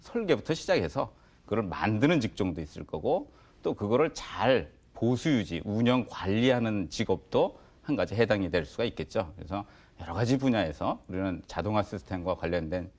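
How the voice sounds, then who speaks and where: A man's voice: fairly low pitch, lively intonation, moderate pace, darkish tone. A man giving explanatory answers as an expert in an interview.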